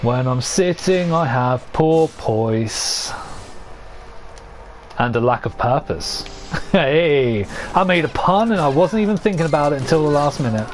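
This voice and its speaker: A young man chats to his stream while he plays, rambling from one thought to the next and laughing at his own jokes. His voice sits a touch high and is starting to go hoarse. A faint background hiss runs under the home streaming mic. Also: animated, a little slow. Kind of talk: casual gameplay commentary to chat.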